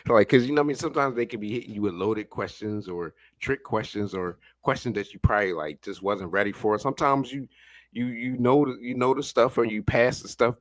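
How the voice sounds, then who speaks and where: A man's voice: fairly low pitch, a bit bright, dry recording. A man giving casual, rambling, slangy reaction commentary with an amused manner. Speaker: reaction streamer.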